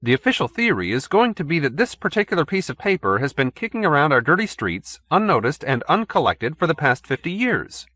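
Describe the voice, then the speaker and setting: Man acting a brash, high-pitched excitable newspaperman. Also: a little fast, hardly any pauses, no echo. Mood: incredulous, gleeful. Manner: slangy, indignant, wisecracking.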